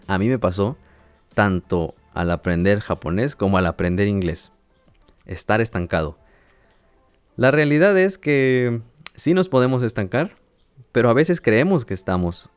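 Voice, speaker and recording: A man with a lowish voice teaches in a calm, measured way and pauses to check that listeners follow. The sound is dry and close, with faint music in the background. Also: no echo. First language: Spanish. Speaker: language teacher and podcast host. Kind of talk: educational podcast monologue.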